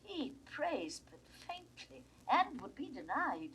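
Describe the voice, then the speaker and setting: An aging British duchess, a desperate mother pleading in classical verse. Her voice swoops widely and moves slowly, with impassioned delivery, on a vintage recording with faint noise. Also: sits high, sing-song, a bit bright, full character voice. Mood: passionate. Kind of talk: classical Shakespearean stage acting.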